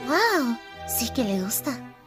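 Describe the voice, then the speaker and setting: A woman's voice: big pitch swings, takes it slowly, music in the background. A woman voicing a blunt, dramatic six-year-old girl in a babyish, whiny manner, with a Latin American Spanish accent, in an anime fan dub. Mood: pleased. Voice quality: childlike, squeaky.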